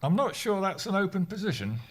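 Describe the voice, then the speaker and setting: A man's voice: high-pitched, very animated, a little fast. A man playing an older, self-important office colleague with a dry, pedantic manner in an audio sitcom.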